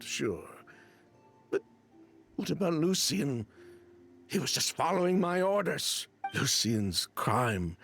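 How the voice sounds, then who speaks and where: Bitter game mastermind, gravelly, slow, very animated. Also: male voice, mid-range voice, full character voice. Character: bitter remorseless schemer, middle-aged man.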